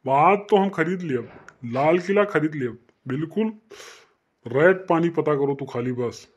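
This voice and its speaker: A man's voice: a little high, a little slow, breathy edge, muffled recording. A man voicing Chhedi, a boastful tipsy Awadhi uncle. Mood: tipsy insistence. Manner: rambling, insistent, boastful. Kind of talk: comic cartoon dialogue.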